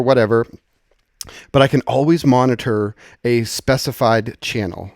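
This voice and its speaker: A man presenting an informal software tutorial in a conversational, explanatory way, thinking aloud as he goes. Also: mid-range voice, no echo, studio quality.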